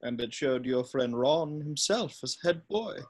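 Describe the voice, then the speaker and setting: A man playing a wise, gentle old wizard headmaster in a scripted read, speaking in measured, formal phrases. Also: mid-range voice, expressive. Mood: gentle, solemn. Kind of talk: scripted table read acting.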